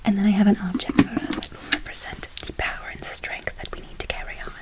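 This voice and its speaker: A woman playing a gentle, doting witch in a whispered ASMR role play, speaking affectionately and unhurriedly, often repeating herself. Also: medium pitch, flat delivery, fast talker, close to an everyday voice. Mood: soothing, doting. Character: gentle, doting female witch casting good-luck spells. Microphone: close ASMR microphone.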